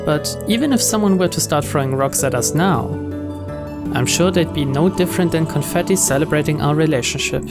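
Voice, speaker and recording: A man's voice: fairly high pitch, fairly quick. A man hosting a let's play, reading a visual novel's narration aloud. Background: game music.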